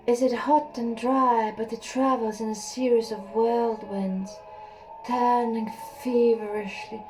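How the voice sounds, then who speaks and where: Slow, hushed woman reading a story. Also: fairly high pitch, low-quality recording. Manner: slow, hushed and measured, with a few slips and laughs. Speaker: audiobook reader.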